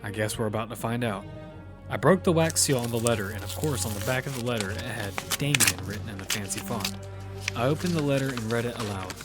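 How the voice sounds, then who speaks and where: A man's voice: medium pitch, quick pace, close to an everyday voice. A man playing a determined lead who narrates his own survival story in a matter-of-fact way. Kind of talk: first-person audio fiction narration. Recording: music bed behind the voice.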